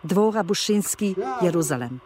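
An actress playing a citizen who reads a formal, earnest letter aloud in a radio drama. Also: female voice, on the low side, expressive, moderate pace, slight background noise, natural voice. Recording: loud crowd behind the voice. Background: crowd.

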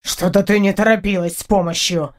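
A woman's voice: mid-range voice, fairly even intonation, a little fast, close to an everyday voice. A woman voicing a boastful, grumpy talking male wild cat in an audiobook, with a cocky, grumbling delivery.

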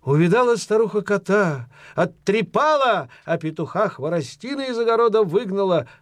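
A man's voice: high-pitched, lively intonation, normal speed, no room sound. A man narrating a children's fairy tale in a folksy, theatrical storytelling style.

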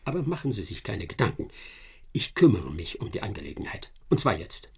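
An actor playing a proud, sharp-witted English master detective in a radio drama, self-assured and lecturing. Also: male voice, fairly low pitch, big pitch swings, brisk, gravelly, breathy edge, heavily acted. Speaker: detective Herlock Sholmes.

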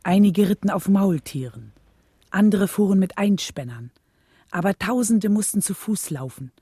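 An actress playing a devoted, steadfast preacher's wife who recalls the past in a radio play, composed and reflective. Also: female voice, mid-range voice, animated, moderate pace, mellow, breathy edge, no room sound, clean studio sound. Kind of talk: radio play narration and dialogue.

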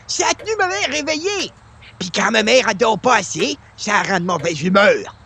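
Man doing a shrill, creaky Québécois grumpy old grandpa. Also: very animated, normal speed, pushed and bright, full character voice. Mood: whiny, complaining. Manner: grumbling, theatrical, rambling chains of complaint. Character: cranky old grandpa neighbour who adores his mother. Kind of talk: old-time radio comedy acting.

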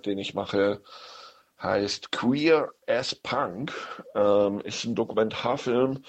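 A man reviewing films on the radio in an unscripted, halting way, searching for words. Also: on the low side, a little mellow. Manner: halting, hesitant delivery with searching pauses. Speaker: film critic on a radio show. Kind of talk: unscripted radio film recommendations.

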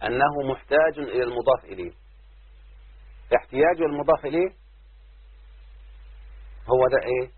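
Husky Egyptian sheikh on a tinny line. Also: male voice, mid-range voice, no echo. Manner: slow, deliberate, questions his listeners. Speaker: sheikh teaching Arabic grammar. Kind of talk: explaining grammar in a lesson. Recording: tinny phone-like line, dry.

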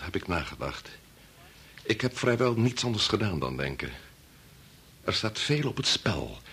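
Radio play: conscience-torn middle-aged scientist and father, deliberate and solemn, somewhat rough-edged voice with expressive swings. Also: sits low, full character voice. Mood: troubled, firm. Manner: deliberate, hesitant, formal. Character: grave, conscience-torn male chief bacteriologist, father and husband. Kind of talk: Dutch radio play acting.

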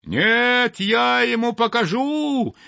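A man voicing a hot-tempered male sparrow with a family to feed, shouting shrilly and breathless with rage in a storybook reading. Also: high voice, big pitch swings, takes it slowly, no room sound, highs cut off, pitched above the performer's own voice, exaggerated voice. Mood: furious.

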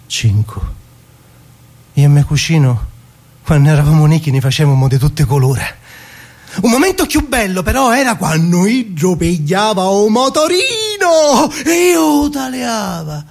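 A high, childlike Sicilian boy's voice for a theatrical radio monologue. He is tender and excitable, unhurried in his telling, swooping between hushed memory and shrill outbursts with a very lively range. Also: exaggerated voice. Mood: playful, bickering, then excited, nostalgic.

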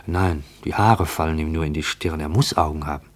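Uneasy observer, very low voice. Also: male voice. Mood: uneasy, searching. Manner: introspective, questioning, deliberate. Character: uneasy, watchful male narrator shadowing a stranger. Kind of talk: German radio play monologue.

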